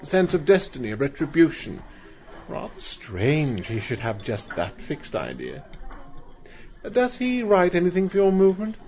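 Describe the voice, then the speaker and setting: A man voicing a polite, measured gentleman with clipped, precise speech. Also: on the high side, wildly swooping pitch, dull audio, voice pushed up. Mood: musing.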